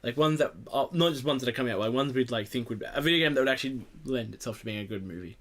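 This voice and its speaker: A man's voice: medium pitch, racing, fairly bright tone. Rambling, sweary man hosting a podcast. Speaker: podcast host. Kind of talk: casual opinionated group chat.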